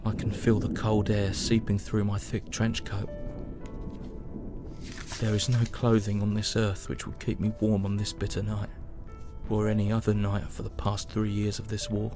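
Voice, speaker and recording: Over clear music, a low-voiced young British soldier played by a man narrates his war journal in an audio drama. He is weary and grieving, solemn and measured, and his pitch barely moves. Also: monotone, close to an everyday voice. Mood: bleak, weary. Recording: music behind the voice.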